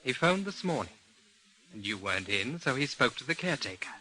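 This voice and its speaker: An actor playing a cool, serious man in an old-time radio drama, speaking in a terse, deliberate way. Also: mid-range voice, sing-song, bright, forceful tone, rough recording. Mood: explanatory. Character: grave, cool man bringing bad news, woman's lover.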